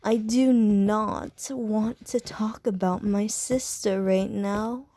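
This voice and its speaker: Short, teasing female vampire voiced by a woman for ASMR roleplay: unhurried half-whispered delivery, mellow tone, innuendo-heavy flirting. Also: medium pitch, steady tone, dry recording. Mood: flirty whisper.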